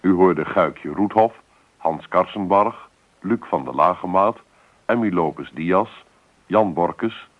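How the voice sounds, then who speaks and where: A man's voice: low voice, slow pace. A man reading a scripted closing announcement as a radio announcer. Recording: narrow, phone-like old radio recording.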